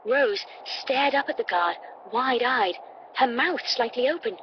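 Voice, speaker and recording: A woman's voice: a little high, lively intonation, a little slow. A woman reading a scripted story as an audiobook narrator. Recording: dull, noisy playback through computer.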